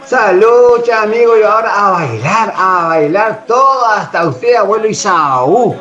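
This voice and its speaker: A man's voice: high-pitched, lively intonation, a little slow, soft background music. A man playing a hearty party-going villager. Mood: festive. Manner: hearty, shouting toasts. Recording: dull roomy sound.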